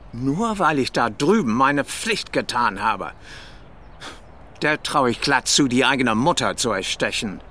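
An actor playing an embittered, defensive older British ex-soldier in a German radio crime drama. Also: male voice, mid-range voice, a bit bright, a little hiss or hum. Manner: bitter, defensive.

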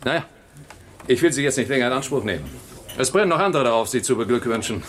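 A man's voice: medium pitch, animated, fast talker, a bit bright, natural voice. A man playing a pompous army general, noisy recording. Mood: jovial. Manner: pompous, long-winded speechifying. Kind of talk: 1960s German radio drama acting. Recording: noisy old radio drama recording.

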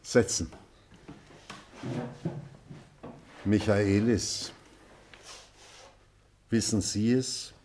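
Old retired teacher, real slow n stern, formal speechifying, radio drama. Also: male voice, lowish voice, fairly even intonation, a little mellow, a little hiss or hum. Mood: stern, questioning. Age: older. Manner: measured, oratorical, authoritative. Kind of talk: radio play acting, speech to a class.

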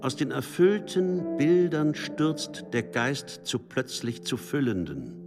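Male reciter, medium pitch, very unhurried, solemn poetry, loud music behind. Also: very animated. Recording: loud instrumental music under the voice.

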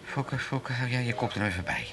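A man's voice: mid-range voice, fairly quick, fairly bright tone, close to an everyday voice. An actor playing a scheming older father in a comic radio play, stammering and wheedling as he repeats himself. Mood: eager, coaxing. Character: scheming, coaxing old father with a room to let.

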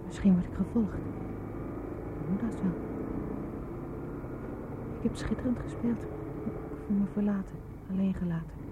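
A woman playing an anxious, determined truth-seeker in a radio drama, by turns pressing and hushed. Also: mid-range voice, moderate pace, long pauses, muffled recording, background noise, close to an everyday voice. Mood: anxious, brooding. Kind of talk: radio drama acting with intimate interior monologue.

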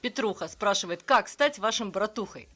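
A woman's voice: medium pitch, animated, moderate pace, faint music behind. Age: adult. Sex: woman. Origin: southern Russia. Role: stream co-host. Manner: chatty, reads out donors, mid-range voice. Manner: chatty, thanks viewers warmly by name. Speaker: stream co-host reading donations. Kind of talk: casual live-stream chat.

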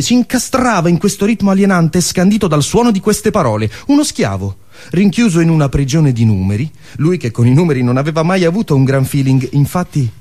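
A man narrating a scripted story theatrically, dramatic and emphatic, with staged pauses. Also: fairly high pitch, a little mellow.

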